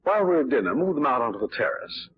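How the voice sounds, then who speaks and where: An actor playing a well-off husband in a 1940s radio drama, with a formal, theatrical delivery. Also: male voice, medium pitch, sing-song, racing, slightly rough. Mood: tender, composed. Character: well-off husband, anxious, shattered by his wife's death. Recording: dull, noisy old radio recording.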